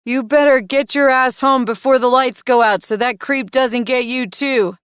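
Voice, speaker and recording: A woman voicing an agitated nonspeaking man who loudly echoes a phrase he has heard. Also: sits high, steady tone, normal speed, clear, resonant tone, clean studio sound, pitched above the performer's own voice, natural voice.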